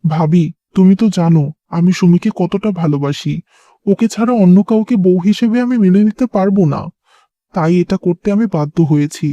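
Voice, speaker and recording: A man's voice: sits high, brisk, warm tone, no room sound, dull audio, natural voice. A man voicing an earnest, pleading young son in love in a cartoon drama, over music.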